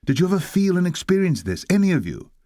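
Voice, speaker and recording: A man's voice: sits high, sing-song, mellow, dry recording, clean studio sound. Solemn man delivering a dramatic sermon. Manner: solemn, exhorting, rhetorical questioning with weighty pauses. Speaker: reader of a historic sermon. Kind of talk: dramatic sermon reading, oratorical.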